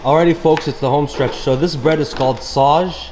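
A man's voice: fairly high pitch, steady tone, normal speed, a little mellow, slight background noise, poor audio. A young man chatting through a cooking session in a big home kitchen, upbeat and gushing about the food. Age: young adult. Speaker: cooking vlogger. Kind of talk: casual cooking commentary.

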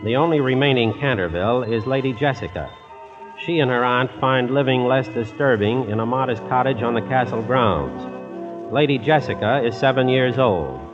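A man's voice: mid-range voice, expressive, moderate pace. A man reading scripted narration for an old 1940s style radio drama. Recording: dull old radio recording. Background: music.

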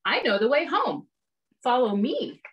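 A woman voicing a shy younger sister in a storybook who calmly reassures her sibling. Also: sits high, a little slow, recorded from a distance, dull audio. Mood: reassuring. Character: quiet, timid younger sister who proves brave.

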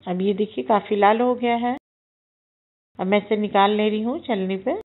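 A woman, the host of a cooking channel, calmly narrating each step of a recipe as she does it. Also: mid-range voice, normal speed, narrow, tinny audio.

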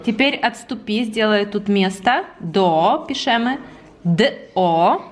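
A woman's voice: mid-range voice, lively intonation, slow. A woman in her thirties chatting casually and playfully for a home vlog, as an affectionate mother. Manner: playful, giggly, affectionate with children. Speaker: vlogger and mother. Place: shop, then a large living room at home. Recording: noisy camera audio with clicks.

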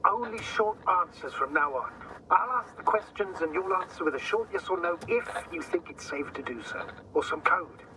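An actor playing a worried space mission commander guiding someone over the radio in a dramatic game, urgent and instructing. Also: male voice, sits high, lively intonation, moderate pace, pushed and bright, far from the mic, slight background noise, low-quality recording. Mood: cautioning.

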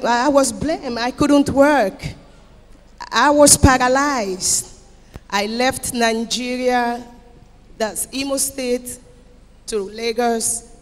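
A middle-aged woman from Equatorial Guinea giving an emotional testimony to a congregation, declaiming emphatically and repeating phrases into a handheld mic. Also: a little high. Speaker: church member giving testimony. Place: church auditorium. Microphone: handheld microphone. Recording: echoey hall PA with music behind.

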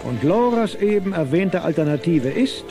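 A man's voice: on the high side, big pitch swings, moderate pace, loud music behind the voice. A man narrating a Christmas audio drama as a scripted storyteller. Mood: storytelling. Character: wry male storyteller of a Christmas tale.

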